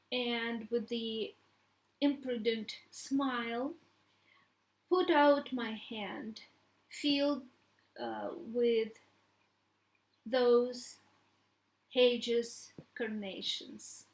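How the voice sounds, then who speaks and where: A woman reading a first-person story aloud in a halting way, stumbling over words. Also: a little high. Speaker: author reading own book. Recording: echoey room.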